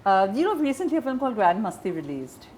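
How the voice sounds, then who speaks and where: A woman's voice: on the high side, very animated, quick pace, a little mellow, a little hiss or hum. A woman interviewing a guest in a conversational style. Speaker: interviewer, journalist.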